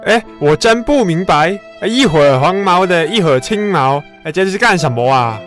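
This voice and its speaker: A man's voice: high-pitched, expressive, fairly bright tone, faint music behind. A man playing a boastful, self-important little ant in a children's radio drama, declaiming pompously. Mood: puzzled. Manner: pompous, slow, declaiming announcements.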